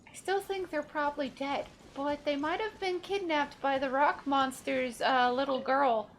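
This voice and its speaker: Female let's-play host: high voice, slow delivery, wry casual commentary, faint game music behind. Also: steady tone, a little hiss or hum. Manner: joking, wry. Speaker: gaming streamer.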